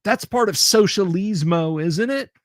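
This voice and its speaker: A man hosts a late-night livestream in an unhurried, rambling way, stumbling over repeated words and dropping dry, sarcastic remarks. His voice sits a touch high for a man. The sound is close and dry, with no room echo. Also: expressive. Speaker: livestream host. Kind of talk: casual chat reading viewer comments.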